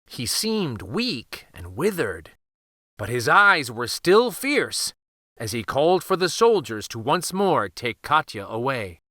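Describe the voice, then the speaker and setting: A man reading a children's picture book aloud in an expressive, deliberate, theatrical storytelling style. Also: mid-range voice, dry recording, clean studio sound.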